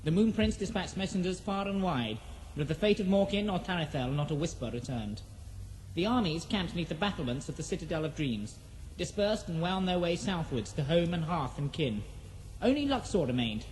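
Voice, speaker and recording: A woman narrator reading a scripted fantasy drama in a solemn, measured storytelling manner. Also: on the low side, a little mellow, a little hiss or hum, poor audio. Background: prominent music.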